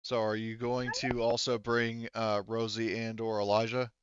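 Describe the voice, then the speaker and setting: A man running a tabletop roleplaying game as game master, talking haltingly as he thinks aloud. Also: mid-range voice, completely flat delivery, highs cut off.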